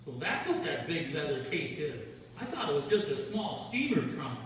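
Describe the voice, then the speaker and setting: Radio-play boy sidekick, acted, eager and excitable, lively high voice, recorded from far off in a noisy, echoing hall. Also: moderate pace, natural voice. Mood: curious. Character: excitable young boy Junior, eager nephew of detective. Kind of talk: stage radio-play acting.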